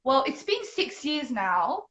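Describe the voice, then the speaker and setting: Bright-voiced young woman from London. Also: high-pitched, very animated, slow pace, highs cut off. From London, England. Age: young adult. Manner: upbeat, fluent and articulate. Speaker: guest English teacher. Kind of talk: online interview answers. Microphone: video call.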